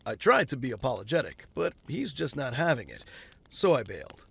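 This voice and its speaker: An actor playing a flippant, self-mocking rich playboy in an audio drama. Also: male voice, medium pitch, big pitch swings, brisk, gravelly, fairly bright tone, slightly rough, heavily acted. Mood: breezy. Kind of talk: fan audio drama acting.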